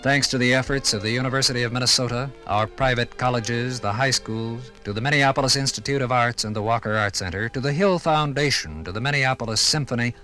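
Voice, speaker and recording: An American man delivers scripted narration for a 1950s radio documentary. His voice is bright and projected, with a solemn, dramatic sense of occasion. Music plays faintly behind him. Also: medium pitch. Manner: solemn, declamatory, earnest.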